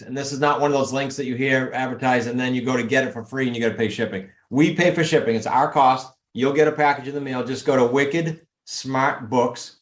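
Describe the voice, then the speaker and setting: New England man in an echoey room. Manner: energetic, pitching steps and links. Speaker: podcast guest. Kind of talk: casual interview talk.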